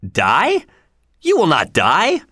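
A man reading aloud, voicing an old king who speaks slowly and soothingly to his son. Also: fairly high pitch, wildly swooping pitch, bright, forceful tone, husky, no echo, clean studio sound, voice pushed up, heavily acted.

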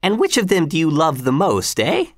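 Casting: audiobook reader as imperious, cruel male governor; mocking, lifted pitch with big swings, dry sound. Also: wildly swooping pitch, moderate pace, no echo. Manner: mocking, commanding, falsely reasonable.

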